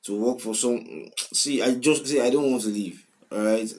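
A man in his twenties with a Nigerian accent, reacting to a song in casual commentary, swinging from loud outbursts to quiet mumbling, recorded on a webcam in a small room. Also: mid-range voice, moderate pace. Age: 20s. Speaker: music reaction host. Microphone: webcam at desk, wearing headphones.

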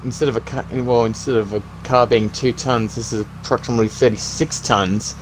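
A man playing a calm, practical zombie apocalypse survivor in an improvised game roleplay, talking in an encouraging, instructive way. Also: mid-range voice, a little fast, slight background noise, close to an everyday voice, low-quality recording. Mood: matter-of-fact.

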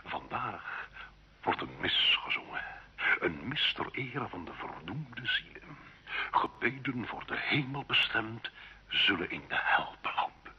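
A man's voice: fairly low pitch, very animated, a little slow, sharp tone, lo-fi sound, full character voice. An actor playing a menacing, obsessed medieval nobleman in an old radio drama, imperious and theatrically intense. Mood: dark, commanding. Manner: imperious, intense, swinging between hissing whisper and outburst.

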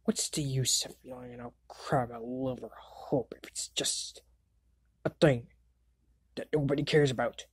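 A woman's voice: extremely low pitch, steady tone, a little slow, husky, dry recording, clean studio sound, exaggerated voice. A woman voicing a bratty, hot-tempered young boy wizard in an amateur cartoon fandub, sneering and exasperated. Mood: angry, contemptuous.

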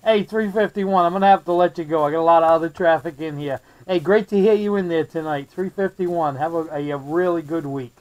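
High-pitched friendly American CB operator. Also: male voice, fairly quick, hardly any pauses, low-quality recording. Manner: friendly, chatty, uses radio jargon. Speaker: CB radio operator, host of the channel. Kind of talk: casual CB radio exchange with call signs.

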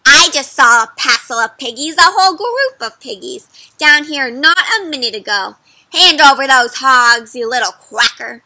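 A woman voicing a greedy, ill-tempered witch in a read-aloud picture book, shouting bossy demands. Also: high-pitched, lively intonation, slow, no room sound, exaggerated voice. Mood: angry shouting.

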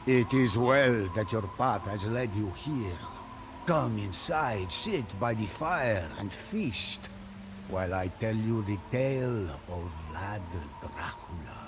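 A man's voice: on the low side, expressive, takes it slowly, noisy background. A man voicing a mysterious castle lord with a thick Wallachian accent, welcoming travelers in a story game, with music behind. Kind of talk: game narration.

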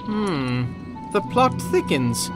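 Confident wizard, lively swooping pitch, wry and theatrical, game cutscene, prominent music behind. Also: male voice, takes it slowly, heavily acted. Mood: amused, excited. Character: witty, confident male desert wizard. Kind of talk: video game cutscene acting.

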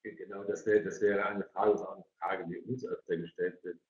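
A man's voice: deep voice, flat delivery, a little fast. A middle-aged man moderating a webinar informally, repeating words as he forms his questions. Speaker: moderator of an online parents' evening. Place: indoor room on a video call. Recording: echoey room, dull video-call audio.

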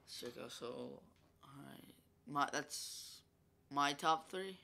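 A man's voice: medium pitch, slow pace, creaky, pushed and bright, slight background noise. A young man with a congested voice, chatting casually as he comments on his own football video game match. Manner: chatty, rambling, filler-heavy. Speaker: gaming YouTuber.

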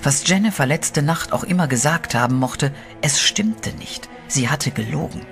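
A man narrating a horror audio drama in a measured, dark storytelling manner. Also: fairly high pitch, lively intonation. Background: music.

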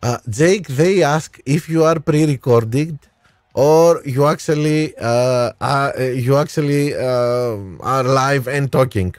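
A man in his thirties calls out race strategy and replies to his chat at a slow, easy pace. His voice sits at an ordinary pitch and comes through a headset mic with a dry, close sound. He often pauses with filler sounds while he thinks. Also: no room sound, faint music behind. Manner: unhurried, talks to chat by name, frequent "uh" pauses. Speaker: game streamer. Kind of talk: live gameplay commentary and chat replies.

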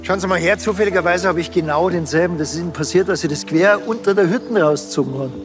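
Actor as a gruff, sardonic cop, higher-pitched. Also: male voice, fast, over music, close to an everyday voice. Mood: probing, dry. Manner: blunt, dry. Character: gruff, sardonic male police investigator. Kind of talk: TV crime comedy acting.

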